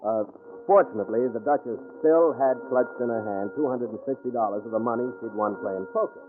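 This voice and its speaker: An actor with an American accent playing a brisk, slangy, wisecracking private detective in 1940s radio style. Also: male voice, mid-range voice, over music, lightly acted. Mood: wry narration. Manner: slangy, clipped, commanding. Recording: tinny, band-limited 1940s radio recording.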